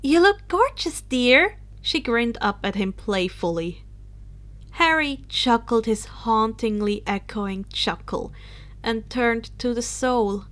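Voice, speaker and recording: A woman's voice: fairly high pitch, takes it slowly, fairly bright tone. A woman reading a story aloud as a narrator, now and then stumbling over a word. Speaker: audiobook reader of fan fiction.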